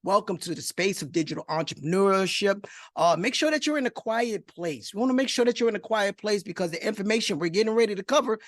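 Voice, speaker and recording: A man's voice: high-pitched, lively intonation, fast talker, no echo. A middle-aged man with a New York accent presenting a motivational online webinar, speaking emphatically, repeating phrases and checking in with his listeners. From New York, United States. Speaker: host of an online investing masterclass. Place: online video call.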